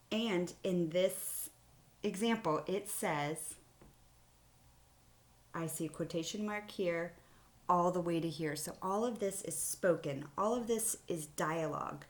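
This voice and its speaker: A woman teacher giving a children's writing lesson in simple, explanatory phrases. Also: fairly low pitch. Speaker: elementary school teacher. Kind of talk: read-aloud writing mini-lesson for children.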